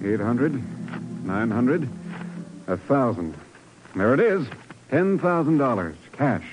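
A man's voice: mid-range voice, very animated, slow pace, soft background music. Slick, cocky con man. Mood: smug. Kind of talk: 1950s radio crime drama acting. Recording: dull old radio broadcast.

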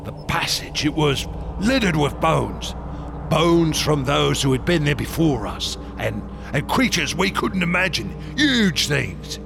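An obsessed explorer in a radio horror drama. His voice swoops widely and crackles with a gravelly edge, boastful and excitable, moving from hushed awe to feverish eagerness over prominent dramatic music. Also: a little high, measured pace, exaggerated voice. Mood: awed, haunted. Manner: theatrical, intense, swinging from hushed awe to eager bursts.

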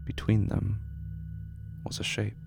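A man narrating a horror audio drama in a slow, hushed storytelling manner. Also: music in the background.

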